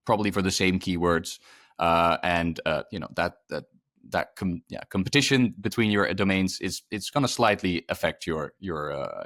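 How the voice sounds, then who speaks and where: Low-voiced man presenting a webinar. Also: fairly bright tone, dry recording, clean studio sound. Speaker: presenter, product specialist. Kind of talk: webinar explanation, unscripted.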